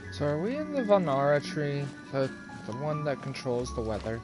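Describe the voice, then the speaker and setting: A man's voice: fairly high pitch, very animated, normal speed. A man giving casual live-stream commentary on a game, musing aloud as he plays. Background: game music.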